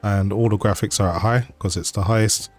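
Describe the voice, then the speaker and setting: Relaxed man commenting on gameplay. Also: fairly low pitch, a little fast. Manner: relaxed, conversational, with fillers. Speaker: game channel host. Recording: voice-over over game music.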